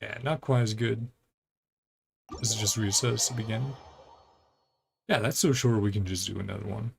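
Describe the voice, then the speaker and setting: A man giving casual, rambling gameplay commentary as a streamer, thinking aloud as he plays, with a creaky voice. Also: on the low side, steady tone, normal speed, slight background noise. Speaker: video game streamer. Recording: game music behind the voice. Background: video game music.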